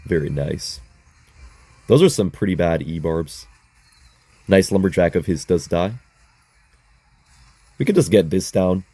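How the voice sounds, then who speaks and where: A young man with a hoarse voice giving chatty, excitable live commentary on a mobile game as he plays, talking to his viewers. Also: low voice, measured pace, pauses often, no echo. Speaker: gaming content creator.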